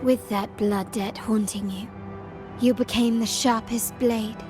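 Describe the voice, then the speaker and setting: Composed, high-voiced young heiress played by a woman. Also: steady tone, a little slow, over music, natural voice. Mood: cold, needling. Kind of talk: dramatic video game voice acting.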